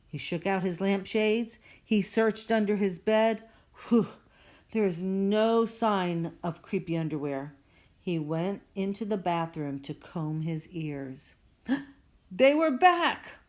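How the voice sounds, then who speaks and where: A woman reads a children's story aloud at an unhurried pace. Her mid-range voice is expressive and theatrical, and she leans on the spooky words, drawing them out for effect. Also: mid-range voice. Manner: dramatic, drawn-out emphasis on spooky words. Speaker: storybook reader.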